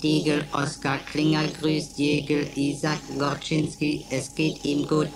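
Monotone, slow female computer voice. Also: low voice, noisy background. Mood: flat, procedural. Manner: clipped, formulaic, monotone announcing. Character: emotionless female computer running roll calls and quizzes. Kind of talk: radio play acting.

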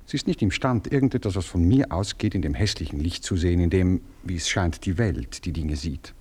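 Deep, husky philandering charmer. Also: male voice, lively intonation, fairly quick, darkish tone. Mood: soothing. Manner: hesitant, rambling, rhapsodic. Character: self-pleased, impatient philandering writer flirting with his mistress. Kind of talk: German radio play acting.

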